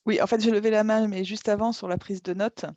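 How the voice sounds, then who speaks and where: A woman's voice: mid-range voice, steady tone, brisk, darkish tone, no room sound, lo-fi sound. A woman participant in an online workshop sharing her experience, rambling a little as she speaks.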